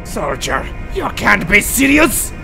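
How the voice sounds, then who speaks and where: A man's voice: high voice, very animated, slow pace, creaky, heavily acted. A man voicing a treacherous, coolly professional spy with a condescending, theatrical manner in a fan-dubbed comic. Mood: skeptical. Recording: music behind the voice.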